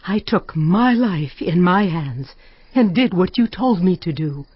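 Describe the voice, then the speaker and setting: A woman playing a wary, fearful spirit medium in an audio Bible drama, with a dramatic, drawn-out, breathy delivery. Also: fairly low pitch, animated, no echo. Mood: pleading. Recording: muffled dramatized recording under clear music.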